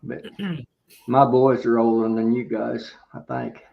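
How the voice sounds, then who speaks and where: A man's voice: medium pitch, flat delivery, a little slow, vocal fry. Man, 70s, American, mid-range voice, casual panelist chat over slightly dull audio. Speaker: panelist on a beekeeping live chat.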